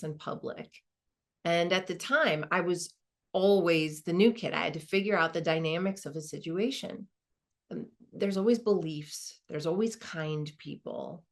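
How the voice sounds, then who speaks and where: A woman's voice: fairly low pitch, natural voice. A woman acting as a wry, reflective woman lawyer. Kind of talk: dramatized first-person monologue, conversational.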